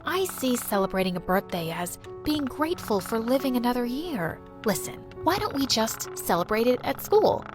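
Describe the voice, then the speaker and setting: A woman voicing a gentle, loving mother speaking softly to her daughter. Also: a little high, big pitch swings, normal speed, hardly any pauses, over music. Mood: tender. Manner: soft, soothing.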